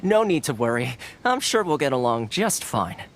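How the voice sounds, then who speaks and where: An actor playing a friendly, playful young local fixer in a video game, speaking in a genial, casual way. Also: male voice, medium pitch, very animated, normal speed, a little hiss or hum.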